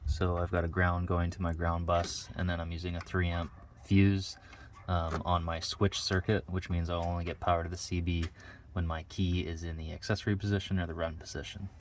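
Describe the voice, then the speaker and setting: A man's voice: low-pitched, little pitch movement, fairly quick, slight background noise. A man giving a casual how-to narration, explaining each step in a plain, matter-of-fact way. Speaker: DIY vehicle mechanic, video host.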